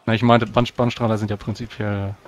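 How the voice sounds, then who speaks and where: A man's voice: lowish voice, level tone, fairly quick, a little hiss or hum. A man playing a tabletop roleplaying game, speaking in solemn, formal in-character speech.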